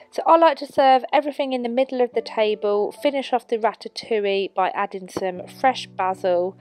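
A woman, talking viewers through a recipe in her home kitchen in a relaxed, chatty way. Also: medium pitch. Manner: chatty, relaxed, frequent "um" and "honestly". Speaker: cooking vlogger. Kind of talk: cook-along voice-over and chat to camera. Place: home kitchen and living room.

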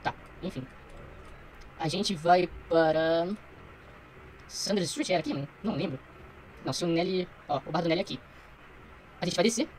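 A man's voice: high voice, moderate pace, pauses often, slight background noise, poor audio. A young Brazilian man giving casual, slangy let's play commentary, muttering to himself as he goes. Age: young adult. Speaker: gaming streamer.

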